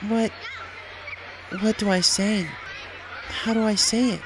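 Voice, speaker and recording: Man voicing an anxious, high-pitched pegasus mother. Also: steady tone, takes it slowly, noisy background. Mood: hesitant, anxious.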